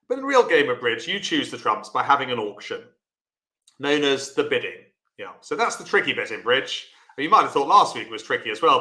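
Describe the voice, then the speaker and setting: Man, bridge teacher, loud voice, forceful bright tone, encouraging, echoey room. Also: mid-range voice. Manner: chatty, encouraging, explains step by step. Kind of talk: live online lesson.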